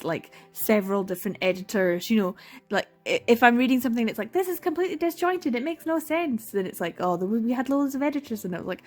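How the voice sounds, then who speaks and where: A young woman in a casual reading vlog, talking through a manga with exasperated sighs, laughs and dramatic repetition. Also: on the high side. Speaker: book vlogger.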